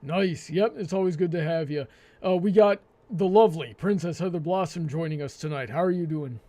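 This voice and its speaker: A man hosting a live panel discussion, with a hesitant, halting delivery that often stammers and repeats words. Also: a little high, mellow. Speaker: host.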